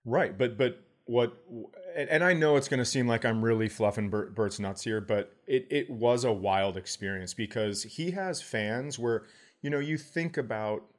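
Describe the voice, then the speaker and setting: A middle-aged American man chatting casually and telling stories as a podcast host, in an informal, profane way. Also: fairly low pitch. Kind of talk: casual chat, storytelling.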